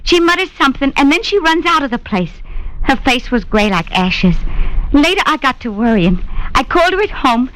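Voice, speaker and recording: High-pitched American anxious, loyal waitress; plain, slangy working-class speech in vintage radio style. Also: female voice, sing-song, normal speed, fairly bright tone, low-quality recording. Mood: worried. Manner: plain-spoken, slangy, earnest. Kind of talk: 1940s radio drama acting.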